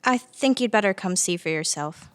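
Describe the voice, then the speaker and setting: A woman's voice: mid-range voice, animated, moderate pace, a bit bright, no room sound, lightly acted. A woman playing a sassy, mild-mouthed evidence officer in a dramatic table read, teasing and unruffled.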